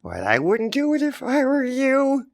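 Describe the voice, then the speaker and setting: A wry, gloomy little boy, as a man reading a storybook puts him on, voiced high with lots of lift and dip. He sounds dry and close, no room around him. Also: huge pitch swings, unhurried, creaky, dry recording, full character voice. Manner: dry, warning, sarcastic.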